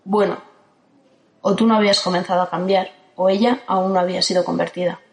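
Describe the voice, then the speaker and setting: A woman from playing a calm, ageless guardian who speaks in a steady, firm way in an audio drama. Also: fairly low pitch, brisk, creaky. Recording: roomy echo.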